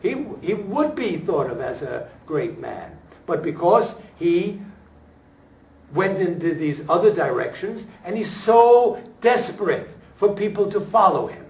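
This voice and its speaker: A man, an actor at a talkback, speaks reflectively in halting, deliberate phrases with emphatic pauses. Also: high-pitched, sing-song, vocal fry, darkish tone, slight background noise. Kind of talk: reflective post-show discussion. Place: room at a staged reading, before an audience. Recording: echoey room, picked up from far off.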